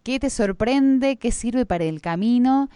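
A woman's voice: on the high side, expressive, unhurried, dark tone, smooth, no room sound. A woman with an Argentine accent hosting a live radio interview, speaking in long, reflective phrases with many diminutives. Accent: Argentine Spanish. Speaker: radio host.

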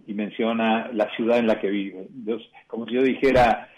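Reflective Argentine bishop, mellow voice. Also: male voice, on the low side, fairly even intonation, slight background noise, rough recording. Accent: Argentine Spanish. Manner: measured, reflective, with frequent hesitations and restarts. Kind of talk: radio interview answer.

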